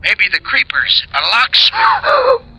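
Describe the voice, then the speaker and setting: An actor playing an anxious, protective husband on the telephone in a 1950s radio drama, earnest and urgent. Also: male voice, extremely high pitch, wildly swooping pitch, takes it slowly, vocal fry, sharp tone, exaggerated voice. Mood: alarmed, urgent. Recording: noisy old radio recording.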